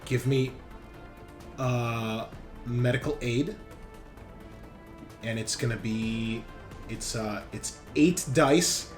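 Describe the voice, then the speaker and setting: A man's voice: medium pitch, drawn-out words, long pauses, faint music behind. A man running a tabletop roleplaying game as game master, narrating and explaining in a casual, conversational way.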